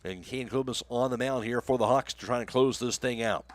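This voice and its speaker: A man's voice: medium pitch, fairly quick, no echo. American man calling baseball, dry close sound. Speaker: play-by-play sports announcer. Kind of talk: live baseball radio play-by-play commentary.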